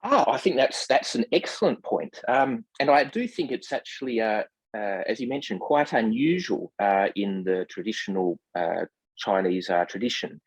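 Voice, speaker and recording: A man speaking as a guest translator in a scholarly discussion, formal in wording, with hesitant, measured phrasing full of ums. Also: lowish voice, animated, husky, no echo, low-quality recording. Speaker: literary translator, podcast guest.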